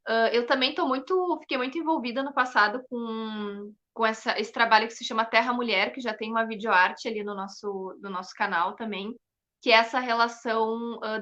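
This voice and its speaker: A young woman speaks as an interview guest in a bright, keen voice that sits on the high side. She explains things casually and with enthusiasm, dotting her phrases with "né". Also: steady tone, moderate pace, dull audio. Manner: enthusiastic, frequent "né" tags. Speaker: interview guest, performing artist and researcher.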